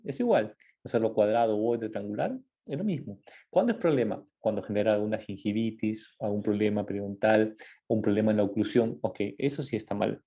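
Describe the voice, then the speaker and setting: A man from Ecuador with a low, mellow voice gives a relaxed, informal lecture on dentistry. He talks conversationally, filling pauses and checking in with his listeners with tag questions. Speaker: dentist giving a talk.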